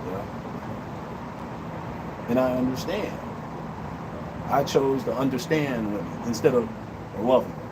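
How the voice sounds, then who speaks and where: A blunt, preachy man in his fifties talks straight to his livestream viewers from an outdoor city balcony. His voice sits at an ordinary middle pitch. Heavy street and traffic noise runs loudly behind him. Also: takes it slowly, long pauses. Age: 50s. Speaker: livestream host. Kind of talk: blunt opinion livestream monologue.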